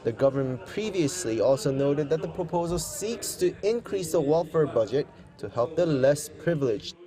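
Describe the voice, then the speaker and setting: A man with a fairly high-pitched voice narrates a scripted news package. He speaks in the formal style of a broadcast reporter. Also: warm tone, rough recording.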